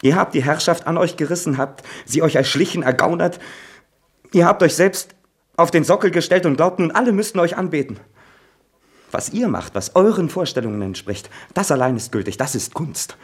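A man's voice: mid-range voice, sing-song, fairly quick, few pauses, slightly rough. An actor playing a bitter, jealous young male writer in a stage drama, brooding and then ranting. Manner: brooding whispers flaring into shouting.

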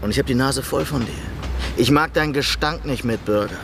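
A streetwise, suspicious underworld man, performed by a male actor in a radio play. The voice is creaky and medium in pitch. He talks in a brusque, impatient, sardonic way. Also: brisk, noisy background, lightly acted. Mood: irritated. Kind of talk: radio drama dialogue.

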